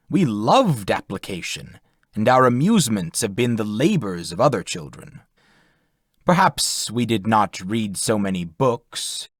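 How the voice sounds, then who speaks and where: A man reading literary prose as an audiobook narrator, in a measured, formal manner. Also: lowish voice, no room sound, studio quality.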